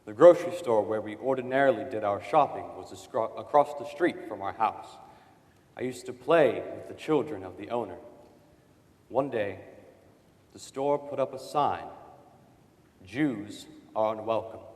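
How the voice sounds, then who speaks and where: A man's voice: fairly low pitch, normal speed, a little mellow, natural voice. A man acting as a sober witness in a staged reading of testimony, plain and earnest. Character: sober male witness recalling persecution in his childhood. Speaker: stage reader in a dramatic reading. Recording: echoey hall with long reverb.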